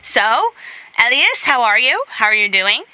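Bright, crisp-voiced female radio host. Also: a little high, very animated, a little slow, no room sound, rough recording. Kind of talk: radio interview.

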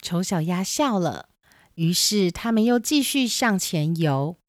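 A woman's voice: lowish voice, lively intonation, a little slow, no echo, studio quality. A woman reading scripted narration for a children's storybook tale. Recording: music behind the voice.

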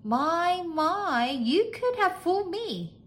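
A plucky storybook housekeeper, acted out by a woman, pitched well up high. The voice is chirpy, eager and polite, with bouncy, animated intonation. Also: slow pace, full character voice. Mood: doubtful. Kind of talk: storybook character acting.

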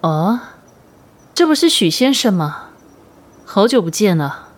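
An actress playing the courteous, faintly sinister boss of a magic shop in a radio drama, measured and knowing. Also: female voice, mid-range voice, big pitch swings. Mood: smooth, courteous.

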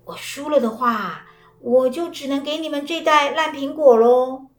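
A woman's voice: high voice, moderate pace, voice pushed up, lightly acted. A woman voicing a foolish, cheerful old man who talks proudly and easily. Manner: proud, easygoing, chuckling. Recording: roomy, with faint music.

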